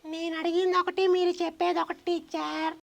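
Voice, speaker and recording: Squeaky, creaky little boy puppet voiced by a woman. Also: level tone, normal speed, heavy background noise. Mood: cheeky, innocent. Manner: childlike, pestering, cheeky questioning. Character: cheeky young boy puppet, naive, teasing questioner.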